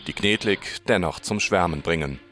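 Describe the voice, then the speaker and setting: A man's voice: low-pitched, moderate pace, pushed and bright. A man narrating a scripted radio report as the reporter.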